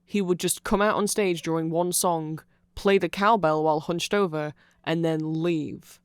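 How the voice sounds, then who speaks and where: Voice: medium pitch, steady tone, unhurried, smooth, dry recording. A speaker giving an off-the-cuff, nerdy ASMR explanation, rambling along with asides and self-corrections, close to the microphone. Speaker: ASMR audio creator. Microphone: close microphone in a dry space.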